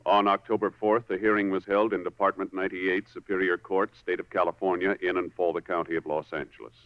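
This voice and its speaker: Man, radio announcer, low pitch, formal measured narration, clear music behind, dull vintage recording. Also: little pitch movement, no echo. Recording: muffled 1950s radio recording. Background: orchestral music.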